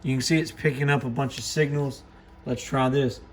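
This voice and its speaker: A man hosting a casual unboxing and gear demonstration, talking in a low-key, plain-spoken, understated way. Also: steady tone, moderate pace. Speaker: host of a radio gear review channel. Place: indoor workbench in a radio shop. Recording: clearly noisy room recording.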